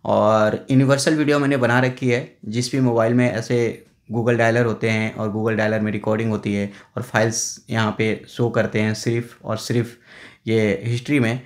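A man's voice: lowish voice, level tone, moderate pace. Chatty man walking through phone settings. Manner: chatty, explains step by step with frequent "na" and "thik hai". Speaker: tech tutorial host. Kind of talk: casual phone how-to voice-over. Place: indoors at a table, filming a phone on a stand.

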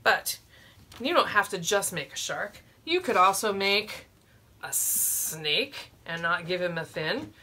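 A woman's voice: medium pitch, animated, slow, pushed and bright, a little hiss or hum. A woman in her forties hosting a children's storytime, explaining a simple craft step by step from a small room. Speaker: librarian, storytime host.

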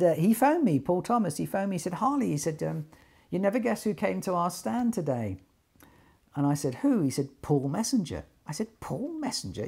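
Older man with a creaky, husky, mellow voice. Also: high voice. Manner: animated retelling with quoted dialogue, repeating words. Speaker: loudspeaker designer telling company story. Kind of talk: informal storytelling to camera. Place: small attic sitting room, indoors.

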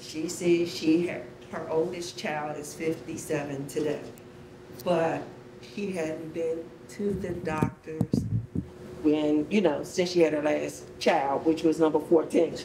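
A woman's voice: steady tone, slow. A middle-aged woman giving spontaneous, heartfelt feedback from an audience. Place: large bare concrete rehearsal hall. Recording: faint room noise and rumble.

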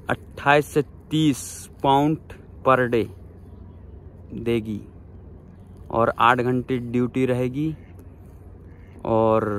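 A man's voice: medium pitch, slight background noise. A man in his thirties filming a casual selfie vlog outdoors on his phone, earnestly encouraging viewers he calls friends. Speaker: vlogger giving job advice. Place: outdoors by a waterfront town, overcast. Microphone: phone at arm's length.

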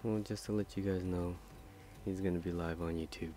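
A man's voice: low-pitched, fairly even intonation, fairly quick, warm tone. A man giving casual, laid-back gameplay commentary as he chats through an online shooter match. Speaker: gamer, video creator. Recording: noisy game chat with background game audio.

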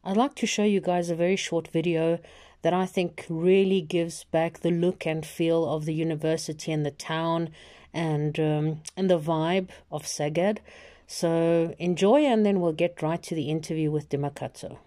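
A woman hosting an interview, introducing a video and asking questions in a measured way. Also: low voice, fairly even intonation, warm tone. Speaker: interviewer and host.

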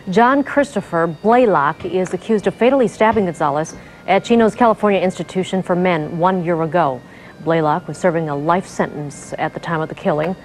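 A woman reporter reads a television news item in an even, scripted way. Her voice sits low, with faint music underneath. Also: low-quality recording.

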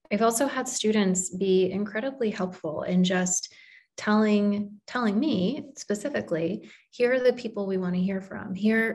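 A woman's voice: medium pitch, darkish tone. A woman answering as a panelist on a video call from an office, earnest and encouraging. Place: office with shelves. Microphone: video call, laptop camera.

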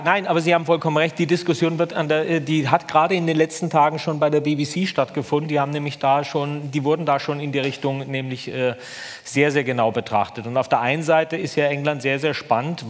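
Middle-aged man speaking in an echoing hall. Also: fairly high pitch. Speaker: conference speaker. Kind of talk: unscripted answers to audience questions. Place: large hall with high ceiling. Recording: echoey large hall.

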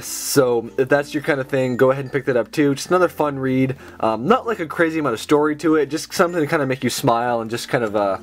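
A young man who is sick and has a sore throat, chatting casually with lots of fillers as he reviews his book haul indoors. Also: mid-range voice. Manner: chatty, enthusiastic, many fillers. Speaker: manga haul video host. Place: indoors at a table with books.

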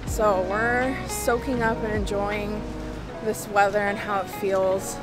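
A woman's voice: medium pitch, level tone, slow. A young woman chatting casually in a travel vlog, holding the camera at arm's length on a city street, often saying "like". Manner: chatty, upbeat, says "like" often. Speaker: vlogger. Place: city street outdoors and dim restaurant. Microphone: camera held at arm's length. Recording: music playing loudly behind.

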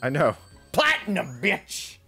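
A man's voice: fairly high pitch, huge pitch swings, slow pace, sharp tone, breathy edge. A man in his thirties chatting casually through a video game with a co-host, swearing and bantering with mock boasts. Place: indoor streaming setup. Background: game music.

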